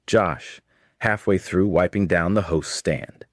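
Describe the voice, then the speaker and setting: A man's voice: deep voice, slow. A man narrating a scripted audio story as its storyteller.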